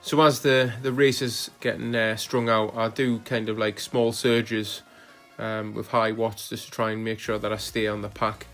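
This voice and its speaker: A man narrating footage of his own rides as a cycling vlogger, relaxed and hesitant, with frequent fillers. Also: lowish voice, normal speed, soft background music. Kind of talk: casual voice-over narration of ride footage.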